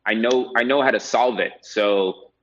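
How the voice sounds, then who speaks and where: A man's voice: mid-range voice, a little slow, a bit bright, a little hiss or hum. A man being interviewed as a podcast guest, talking reflectively and conversationally, often checking in with a "right?". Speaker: podcast guest, real estate agent. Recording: dull, lo-fi sound.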